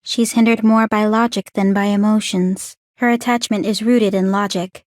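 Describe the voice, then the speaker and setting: A woman's voice: mid-range voice, flat delivery, moderate pace, a little mellow, no echo, studio quality, lightly acted. A woman playing an aloof, bookish young warrior maiden in a video game, speaking in a composed, formal, precise and detached way. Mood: calm, analytical. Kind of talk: English video game voice acting.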